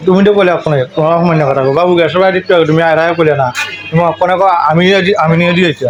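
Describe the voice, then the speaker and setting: A man's voice: a little high, steady tone, racing, highs cut off, music in the background. A man in a casual, quarrelsome phone conversation, argumentative and often repeating himself.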